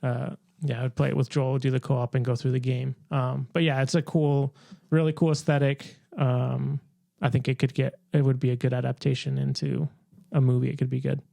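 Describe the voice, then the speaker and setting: A man's voice: medium pitch, flat delivery, brisk, vocal fry, warm tone, dry recording, clean studio sound. A man talking casually with others as a podcast panelist. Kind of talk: casual group discussion.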